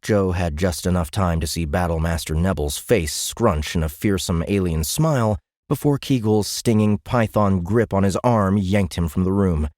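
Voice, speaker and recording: Male narrator for science fiction audiobook: low register, dramatic scripted read, dry studio-tight sound. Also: normal speed, few pauses, darkish tone, dry recording.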